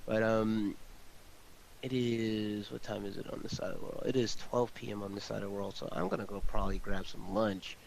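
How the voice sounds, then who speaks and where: Low-voiced man rambling casually over hiss. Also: level tone, brisk, vocal fry. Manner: rambling, repetitive, slangy. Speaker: amateur audio drama producer. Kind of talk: casual chat. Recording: hissy, noisy home microphone.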